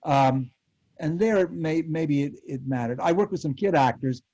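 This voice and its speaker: A dry, slightly muffled recording of an older American man reminiscing on a panel. His voice is dark and mellow, and he talks haltingly and reflectively, feeling his way through his thoughts. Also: fairly high pitch, dry recording. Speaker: panel guest, former child actor and TV director. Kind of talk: reminiscing in an online panel interview.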